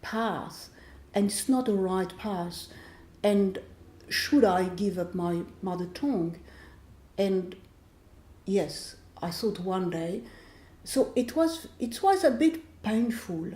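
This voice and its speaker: A woman in her sixties with a French accent talks slowly and reflectively as an author in conversation, restarting phrases as she searches for the right English word. Her voice is warm and mellow, and it rings a little in an echoey room. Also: medium pitch, expressive. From France. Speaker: author being interviewed.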